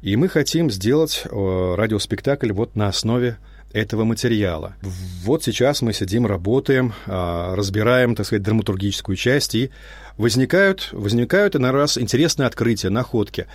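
Low-voiced man speaking hesitantly. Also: lively intonation, moderate pace, no echo. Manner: hesitant, with frequent filler sounds and restarts. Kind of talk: unscripted spoken address to listeners.

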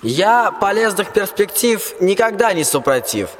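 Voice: medium pitch, very animated, unhurried. A performer playing a weary, ancient invisible spirit in a rhymed radio play, with plaintive folksy declamation. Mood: eager. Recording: reverberant, faint ambient noise behind.

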